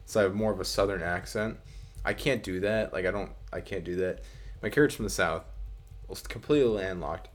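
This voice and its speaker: A young American man with a deep voice talks as a podcast co-host. His delivery is casual and comedic, rambling along haltingly with the odd casual swear. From American Midwest.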